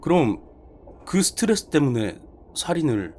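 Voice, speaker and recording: A man's voice: on the high side, very animated, a little slow, darkish tone, a little hiss or hum, voice pushed up. A man playing a young, deferential junior detective in an audio horror story, reacting politely and hesitantly in disbelief. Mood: incredulous. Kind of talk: dramatized horror short story reading.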